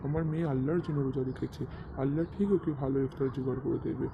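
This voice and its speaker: A man voicing a gentle, devout father in cartoon dialogue, with some noise in the recording. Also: medium pitch, fairly even intonation, very fast, lo-fi sound, close to an everyday voice. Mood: calmly firm. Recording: faint background noise.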